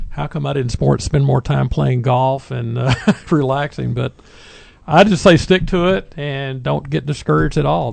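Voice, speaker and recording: A man co-hosting a radio talk show, giving easygoing advice with halting restarts. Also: medium pitch, vocal fry, a little mellow, dry recording.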